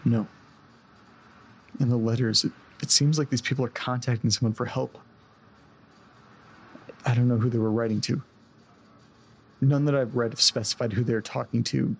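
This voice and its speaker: An actor playing a distressed, desperate man in an audio drama, speaking earnestly and haltingly, often breaking off mid-sentence. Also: medium pitch, fairly even intonation, gravelly, a little hiss or hum, lightly acted. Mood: haunted, uneasy. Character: distressed man recounting a haunted-house ordeal, desperate to get home. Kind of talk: audio drama acting, naturalistic.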